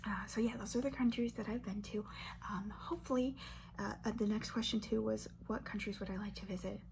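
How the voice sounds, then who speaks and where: A woman in her twenties from Brazil, whispering an ASMR question-and-answer chat close to the mic and softly repeating words over and over as triggers. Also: medium pitch, steady tone, fairly quick, vocal fry. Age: 20s. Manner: chatty, filler-heavy, with light laughs. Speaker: ASMR creator. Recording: noisy hum under voice.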